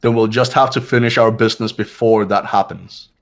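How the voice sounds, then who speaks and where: A man voicing a stern, resolute young leader in a video game, speaking gravely and with authority. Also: on the low side, steady tone, brisk, faint music behind, close to an everyday voice. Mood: commanding. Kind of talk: amateur voice acting of a video game.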